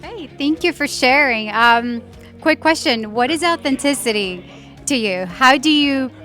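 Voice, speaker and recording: A woman interviewing a guest at a conference, thanking him warmly and asking questions with some hesitation. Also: high voice, animated, a little slow, faint music behind. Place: conference venue.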